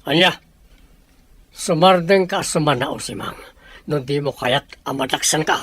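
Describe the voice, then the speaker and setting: An actor playing an intimidating boss in an Ilocano audio drama, gruff and domineering, with an Ilocano Filipino accent. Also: male voice, a little high, a little slow, fairly bright tone. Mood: menacing. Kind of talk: Ilocano radio-style audio drama acting.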